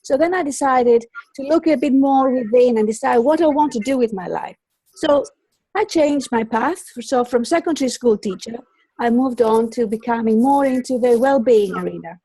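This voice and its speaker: A middle-aged woman with a Greek accent, a native Greek speaker, telling her own story as an interview guest in an expressive, wandering way with repeated words. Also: a little high, normal speed, slightly rough, dry recording, low-quality recording. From Greece. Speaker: interview guest, colour psychologist and teacher.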